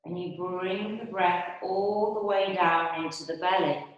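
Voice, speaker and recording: Slow, low-voiced woman in an echoey room. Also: dull audio. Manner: calm, soothing, unhurried with long pauses. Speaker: yoga and meditation instructor. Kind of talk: guided meditation and restorative yoga instruction.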